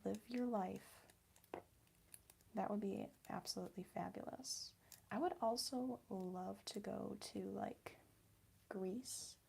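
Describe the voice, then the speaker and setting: A young woman with a Midwestern accent chatting softly for an ASMR video, slipping between whispers and a quiet, giggly voice as she answers viewers. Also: medium pitch, slow pace, gravelly, pauses often, breathy edge. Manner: soft-spoken, drifting between whisper and quiet voice. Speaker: ASMR creator. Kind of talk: ASMR chat while crafting.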